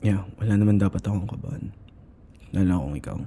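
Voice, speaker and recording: A man with a Filipino accent playing a shy, affectionate boyfriend in his twenties in an intimate ASMR roleplay, speaking softly and hesitantly. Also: low-pitched, husky. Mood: tender, earnest. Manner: soft, hesitant, halting, slipping between Tagalog and English.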